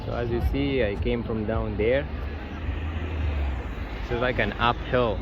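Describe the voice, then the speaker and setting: A man from Tunisia talks casually and reflectively in a halting, unscripted way as he vlogs on a walk. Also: medium pitch, fairly even intonation, dull audio, music in the background. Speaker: travel vlogger. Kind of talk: casual walking vlog with personal reflections. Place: outdoors on a busy tourist street. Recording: loud outdoor street and traffic noise. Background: street ambience, passing vehicles.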